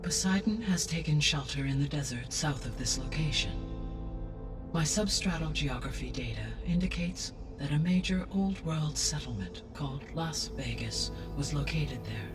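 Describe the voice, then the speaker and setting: A man playing a calm, formal artificial intelligence in a video game, with precise, measured diction. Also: on the high side, recorded from a distance, over music, lightly acted. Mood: calm, informative. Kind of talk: video game cutscene dialogue.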